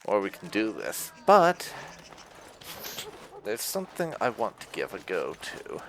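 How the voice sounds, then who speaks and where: Deep, lively male gaming commentator with some fry. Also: unhurried, slight background noise. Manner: rambling, thinking aloud. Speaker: gaming video host. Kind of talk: casual playthrough commentary.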